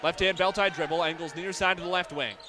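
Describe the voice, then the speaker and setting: Casting: young American man, higher-pitched play-by-play announcer, detailed delivery, audible venue noise behind him. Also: steady tone, quick pace. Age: young adult. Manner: crisp detailed descriptions, voice raised on big plays. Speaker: play-by-play sportscaster. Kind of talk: live sports play-by-play commentary. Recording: live game ambience, clear background noise. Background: sports venue sounds.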